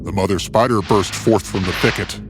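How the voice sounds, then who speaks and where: A man's voice: deep voice, moderate pace. A man reading a scripted adventure story in a theatrical, suspenseful way, as its narrator. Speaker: storyteller, narrator of a fiction series. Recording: music bed under the voice. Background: music.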